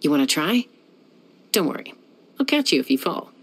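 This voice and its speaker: A woman's voice: low-pitched, sing-song, normal speed, slight background noise. A young woman voicing a self-assured, dryly teasing gunslinger who flies and settles disputes, in video game voice lines. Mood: cool. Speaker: game character.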